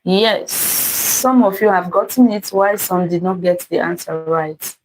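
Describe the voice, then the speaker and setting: Slow-paced Nigerian woman hosting a live stream. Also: on the low side, a little hiss or hum, low-quality recording. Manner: unhurried, repeats greetings and thanks to viewers by name. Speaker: live-stream host teaching math puzzles. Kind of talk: interactive live-stream chat, reading out viewer comments.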